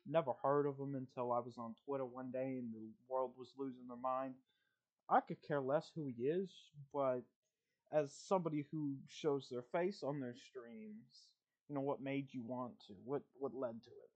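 A man's voice: medium pitch, steady tone, normal speed, darkish tone, muffled recording. A man hosting a podcast in casual chat, telling rambling stories with plenty of swearing. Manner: loose, profane, rambling storytelling. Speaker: podcast host.

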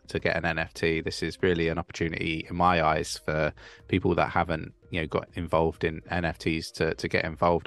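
Brief: very low, gravelly male co-host, laid-back livestream chat, dry close mic. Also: no room sound. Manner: relaxed, chatty, reads live chat questions. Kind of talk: casual crypto livestream chat.

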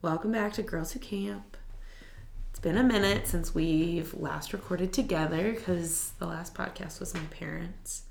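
A woman's voice: fairly low pitch, a little slow, gravelly, a little mellow, reverberant, a little hiss or hum. A young woman co-hosting a podcast in casual chat, a little stuffy and nasal, laid-back and giggly. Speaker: podcast co-host. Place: indoors at home, dog nearby.

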